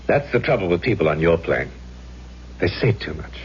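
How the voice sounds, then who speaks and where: An actor playing a ghostly man in a 1970s radio drama, calm, knowing and gravelly as he coaxes in measured, intimate tones. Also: sits low. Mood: calm, patronizing. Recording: muffled, noisy old radio recording.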